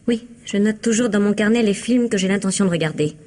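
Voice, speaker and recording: An actress playing an indignant, evasive young woman suspected of murder in a radio mystery, with a husky, breathy, flustered delivery. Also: mid-range voice, a little fast, a little mellow, natural voice. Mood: flustered, affronted. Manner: flustered, hesitant, protesting. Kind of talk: French radio mystery drama acting.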